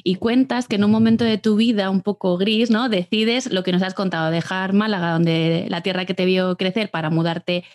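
A woman's voice: mid-range voice, steady tone, normal speed, few pauses, a little mellow, no echo, studio quality. A woman hosting an interview, attentively summarizing her guest's story before asking prepared questions. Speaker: interviewer host.

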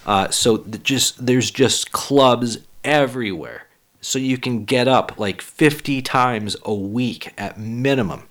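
A man hosting a solo podcast, a little hoarse, talking in a rambling, profane, self-deprecating way. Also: medium pitch, slow, a bit bright. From Boston area. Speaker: podcast host and stand-up comic. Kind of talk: casual solo podcast monologue.

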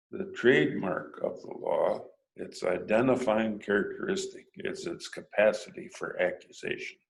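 Man, preacher, very slow, reflective sermon, questioning prompts. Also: on the low side, creaky, poor audio. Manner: thoughtful, prompts listeners with "hmm?" and "huh?".

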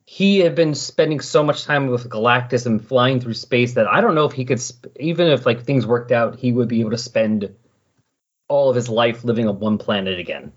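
A man's voice: medium pitch, moderate pace, slightly rough. A man hosting a podcast in casual chat, rambling and correcting himself as he recaps, on a dull, lo-fi recording. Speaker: podcast host. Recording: dull, lo-fi sound.

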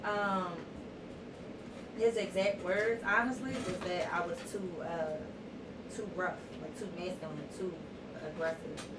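Brief: woman podcast guest, blunt and outspoken; lowish voice, off-mic in a roomy space with loud noise behind. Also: steady tone, unhurried. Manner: blunt, outspoken, laughs easily. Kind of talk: casual chat. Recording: echoey room, distant, loud noise.

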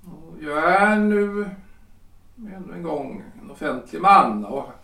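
An actor playing a self-important, flustered lawyer-politician husband in his forties in a period audio drama, halting and repeating himself. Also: a little high, gravelly, bright, forceful tone, distant mic. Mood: flustered, blustering. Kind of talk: period stage-play audio drama acting. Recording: roomy echo, off the mic.

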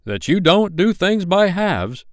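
A man's voice: high voice, big pitch swings, slow, dry recording, clean studio sound, pitched above the performer's own voice, full character voice. A man voicing a touchy, pompous yet playful father, theatrical and emphatic, in a storybook reading. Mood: grudging.

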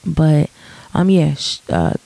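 Solo podcaster, woman, deep raspy voice with fry, thoughtful and earnest, dry close sound. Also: big pitch swings, slow, dark tone, no room sound. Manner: reflective, earnest, many ums and restarts. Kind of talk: casual solo podcast monologue.

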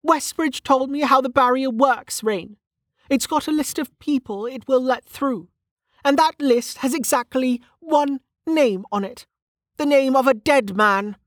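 Woman acting a bitter, high-pitched, hot-tempered woman. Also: a little slow, no echo, studio quality. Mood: bitter despair. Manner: snappish, scornful.

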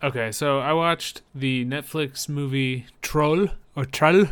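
Clear-voiced, easygoing man in casual chat. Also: fairly high pitch, steady tone, slow, fairly bright tone. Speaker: podcast co-host. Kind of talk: casual chat about films.

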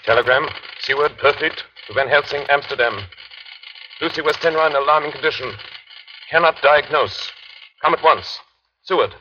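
An actor playing an earnest young doctor, giving a formal, measured account in a 1930s radio drama. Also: male voice, on the high side, sharp tone, a little hiss or hum. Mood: urgent. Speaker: actor, narrator. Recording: muffled old 1930s radio broadcast.

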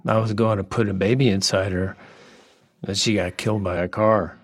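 A man acting in an audio drama as an odd, playful, lonely middle-aged workman, whimsical and halting, with earnest oddball candor. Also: fairly low pitch, fairly even intonation, lightly acted. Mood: wistful, confiding.

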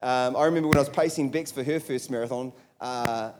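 A man's voice: medium pitch, a little fast, darkish tone, slight background noise. A man preaching a conversational sermon in a church, asking the congregation rhetorical questions and restarting his sentences. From New Zealand. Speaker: pastor. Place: church hall. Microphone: handheld or stage mic.

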